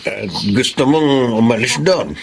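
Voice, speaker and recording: Creaky, kindly Filipino factory supervisor. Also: male voice, medium pitch, a little slow, background noise. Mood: reassuring. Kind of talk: Filipino radio drama acting.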